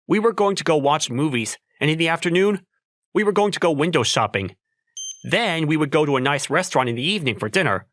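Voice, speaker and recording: A man's voice: a little high, fairly bright tone, no echo, studio quality. A man playing an awkward, modest young working man in a romcom manga dub, musing dryly and hesitantly about himself. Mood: musing, resigned. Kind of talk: manga dub voice acting with inner monologue.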